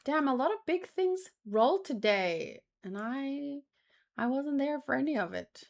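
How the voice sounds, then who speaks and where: A woman's voice: high-pitched, expressive, measured pace, creaky. A woman chatting casually as a streamer, thinking aloud in a rambling, exclamatory way. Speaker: manga read-along streamer.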